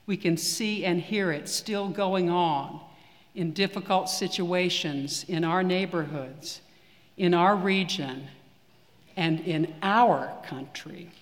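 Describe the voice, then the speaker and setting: A man preaching a sermon in a church, measured and earnest with deliberate pauses. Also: high-pitched. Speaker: priest. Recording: long reverb of a church hall.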